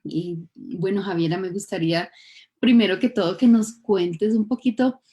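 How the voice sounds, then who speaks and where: A woman hosting an online interview, calm and explanatory as she introduces her guest. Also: medium pitch, normal speed. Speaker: host.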